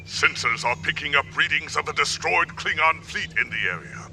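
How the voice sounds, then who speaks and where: An actor playing an urgent, loyal Klingon bridge officer in a video game, reporting dramatically over faint ship noise. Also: male voice, fairly high pitch, lively intonation, moderate pace, gravelly, pushed and bright, exaggerated voice.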